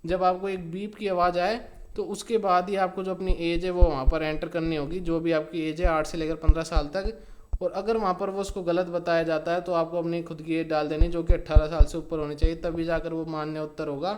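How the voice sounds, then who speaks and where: Male tutorial host, slightly high voice, very even melody, informal instructional read that repeats key points. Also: a little fast. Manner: repetitive, step-by-step instructing with emphasis on key words. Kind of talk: informal explainer narration.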